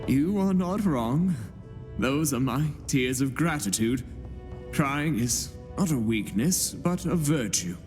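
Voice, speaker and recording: An actor playing a pious, emotional middle-aged knight in a game, speaking solemnly. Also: male voice, mid-range voice, animated, slow, a little mellow, long reverb tail, faint music behind. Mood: earnest. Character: pious, tearful male knight, middle-aged, humble yet pleading. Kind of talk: game voice acting.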